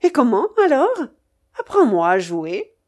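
A woman voicing an imperious, quick-tempered old grandmother in an audiobook, blunt and scolding. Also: fairly high pitch, huge pitch swings, a little mellow, voice pushed up, heavily acted. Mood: challenging. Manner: commanding, exclaiming, scolding. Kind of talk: audiobook character voice.

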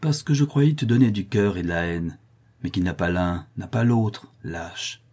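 Audiobook villainess: savage, scornful condemned widow, low, husky and dark, read by a man. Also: moderate pace. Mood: exasperated contempt. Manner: cold fury, savage irony, scornful. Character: fierce, sneering, vengeful condemned widow, a criminal mother.

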